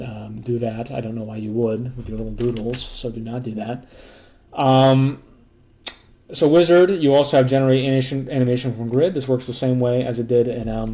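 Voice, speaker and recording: A hoarse man casually walking through a demo of software he built, rambling loosely with lots of fillers, restarts and blunt joking asides. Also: medium pitch. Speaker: developer demoing his own software. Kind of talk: casual rambling screen walkthrough.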